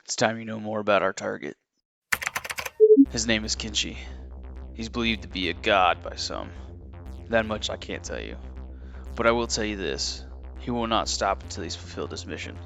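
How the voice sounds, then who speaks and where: A haunted, solemn warrior in an audio drama. His low voice is full of gravel, each phrase set down deliberately, with clear music underneath. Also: animated, fairly bright tone. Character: grave male ex-disciple warrior with tortured past.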